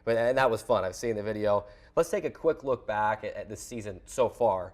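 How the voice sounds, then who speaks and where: Fairly low-voiced male sports host. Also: a little fast, darkish tone. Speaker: sports show host and play-by-play broadcaster. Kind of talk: conversational sports interview hosting.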